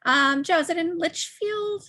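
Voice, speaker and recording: A woman's voice: very high-pitched, a little slow, sharp tone. A woman giving casual, conversational answers in an interview.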